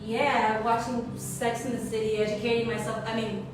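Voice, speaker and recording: A woman performing an autobiographical stage monologue, telling it expressively and dramatically with drawn-out words. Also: a little high, level tone. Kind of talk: theatrical autobiographical monologue, loosely improvised. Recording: very echoey, distant, music behind.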